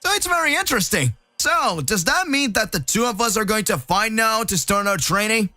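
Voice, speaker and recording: A man's voice: high-pitched, animated, moderate pace, sharp tone, no echo, soft background music, voice pushed up, exaggerated voice. A man voicing an eager, cheerful young martial artist hero. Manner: upbeat and eager.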